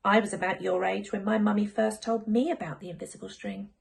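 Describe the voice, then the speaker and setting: A woman voicing a gentle, reassuring mother of young twins in a children's picture book, patient and soothing. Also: medium pitch, steady tone, brisk, gravelly.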